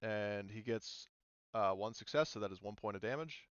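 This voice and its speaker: A man's voice: fairly low pitch, moderate pace, clear, resonant tone, dull audio. A man running a tabletop roleplaying game as game master, casually explaining rules.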